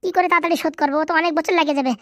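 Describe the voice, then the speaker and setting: Woman doing a cheeky cartoon boy schemer, Bengali accent, very high voice, eager colloquial patter, close dry recording. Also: fairly even intonation, rapid-fire, no room sound. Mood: eager, deferential. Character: cheeky scheming young male cartoon sidekick, eager and flattering. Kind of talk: comedy cartoon dubbing.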